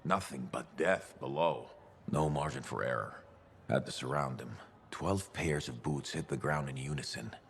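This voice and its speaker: Deep male voice, proud old mercenary veteran, boastful storyteller, game cutscene, ambient noise behind. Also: moderate pace, vocal fry. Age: older. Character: boastful gruff old veteran freelancer man. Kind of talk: video game cutscene acting.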